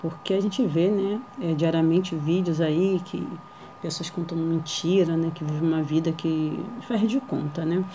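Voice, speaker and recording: A woman's voice: deep voice, steady tone, moderate pace, warm tone, slight background noise. A woman in her thirties with a Rio de Janeiro accent, introducing herself in a hesitant, shy way, with many fillers and tag questions. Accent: Rio de Janeiro Portuguese. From Brazil. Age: 30s. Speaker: vlogger. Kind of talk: casual first-vlog introduction. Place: small living room indoors.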